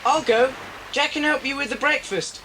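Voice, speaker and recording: A woman's voice: sits high, normal speed, natural voice. A woman playing a sensible young boy in a children's audio drama, speaking in a matter-of-fact manner. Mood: dry, practical. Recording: noisy old cassette dramatisation.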